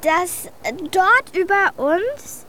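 High-voiced, curious young girl. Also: very animated, takes it slowly, bright, forceful tone, a little hiss or hum, exaggerated voice. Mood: earnest. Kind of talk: German radio play acting.

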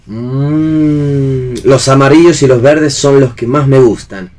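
A man's voice: mid-range voice, takes it slowly, darkish tone, lightly acted. A man with an Argentine accent playing a wry, affectionate young husband in a read-aloud stage play.

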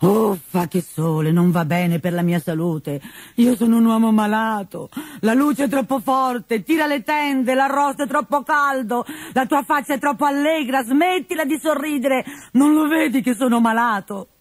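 A woman's voice: a little high, moderate pace, no echo, voice pushed up, natural voice. A woman voicing a miserly, sickly old man who whines and grumbles, in a storybook reading. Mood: whining. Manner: whiny, complaining, shouting orders. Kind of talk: storybook character voice.

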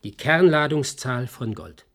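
An actor playing a sharp, persistent male investigator in a German radio play, explaining in a measured, insistent way with a slightly husky voice. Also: mid-range voice, animated. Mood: explanatory, assured.

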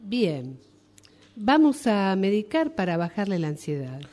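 An actress playing a probing, clinical psychiatrist in a radio drama. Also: female voice, medium pitch, big pitch swings, measured pace, darkish tone.

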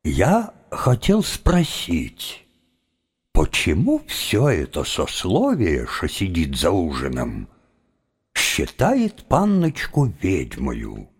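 An actor playing an inquisitive seminary philosopher with a husky voice and a drawn-out, probing manner, in an audio play. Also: male voice, low voice, wildly swooping pitch, heavily acted. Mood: curious, probing. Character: inquisitive young male seminary philosopher among Cossacks. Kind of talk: theatrical audio play acting.

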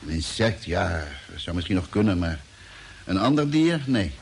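A man's voice: sits low, very animated, moderate pace, vocal fry, heavily acted. An actor playing a sceptical, authoritative space crewman with a precise, matter-of-fact delivery in mid-century radio drama style. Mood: sceptical, dismissive. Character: Mitch, sceptical, authoritative male space engineer. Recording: noisy old radio play recording.

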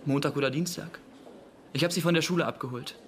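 An actor playing a defensive young small-time hustler in a radio crime drama, evasive, streetwise and protesting. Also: male voice, fairly high pitch, fast talker, a little hiss or hum, lightly acted. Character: defensive, cocky 25-year-old male small-time hustler. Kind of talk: naturalistic radio play acting.

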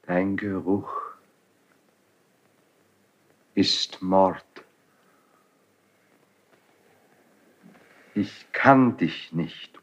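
An actor playing a passionate, defiant young Spanish prince stricken with grief, declaiming classical verse in a 1970s German radio drama. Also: male voice, low voice, breathy edge, heavily acted. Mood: bitter, accusing. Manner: declamatory, impassioned. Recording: dull 1970s radio studio recording.

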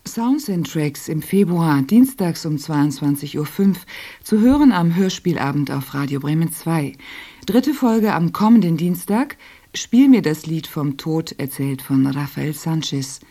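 A female radio announcer with a low, dark-toned voice. She reads programme notes in a formal, measured way, as a prepared script. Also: expressive.

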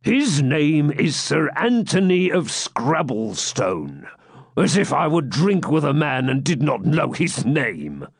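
A man voicing a boisterous, blustering friar in an audiobook, with booming, drawn-out archaic speech. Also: sing-song, vocal fry, husky, no echo, clean studio sound, exaggerated voice. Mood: bold bluffing. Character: boisterous, blustering hermit friar, pious fraud and brawler. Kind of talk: audiobook character voice.

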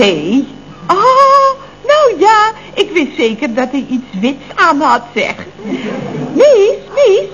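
An actress playing a chatty, exclamatory Dutch office secretary in the style of 1970s radio comedy. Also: female voice, very high-pitched, full character voice. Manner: gossipy, exclamatory. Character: chatty, gushing office secretary, drily puzzled by her boss. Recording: dull, noisy old radio recording with hum.

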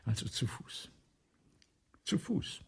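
An actor in a German radio play voicing an ailing, vain, anxious writer, theatrical and halting, with a raspy voice. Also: male voice, natural voice. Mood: indignant, sarcastic. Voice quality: raspy, breathy, gravelly. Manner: theatrical, halting, repeats himself, swinging between whispers and outbursts.

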